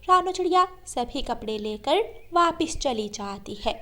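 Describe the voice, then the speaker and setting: High-pitched woman narrating children's story. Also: expressive, a little slow, bright, forceful tone.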